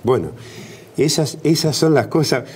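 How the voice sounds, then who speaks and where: A man's voice: medium pitch, unhurried, darkish tone, slightly rough. A middle-aged Argentine man, a politician being interviewed on TV, arguing emphatically with rhetorical questions and frequent restarts. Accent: Argentine Spanish. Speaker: politician guest.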